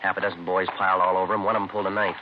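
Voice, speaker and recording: An actor playing a terse, matter-of-fact American police detective sergeant in a 1950s radio crime drama, clipped and deadpan. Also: male voice, sits low, very fast, background noise, close to an everyday voice. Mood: businesslike. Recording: muffled old radio recording, music behind.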